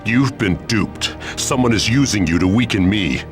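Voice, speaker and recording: A man portrays a commanding crime lord in a game cutscene. His deep voice is formal and measured, coldly calculating, with loud music behind it. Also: lively intonation, natural voice. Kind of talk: video game cutscene acting.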